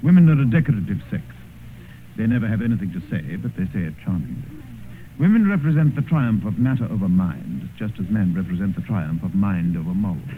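An actor playing a worldly, cynical gentleman in an old radio drama, suave and amused, with drawling, epigrammatic delivery. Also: fairly low pitch, sing-song, fairly quick. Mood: amused disdain. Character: worldly, cynical English gentleman, older mentor and corrupter. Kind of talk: 1950s radio drama acting and first-person narration. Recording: muffled, hissy old radio broadcast.

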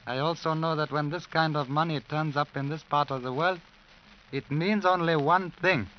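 An actor playing a shrewd, suave crime boss, deliberately polite and faintly menacing, in a vintage radio drama. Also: male voice, on the high side, fairly even intonation, natural voice. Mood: coolly menacing. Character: suave, shrewd Chinese-Irish crime boss in a border club. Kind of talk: 1950s radio drama acting. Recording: dull, noisy vintage radio recording.

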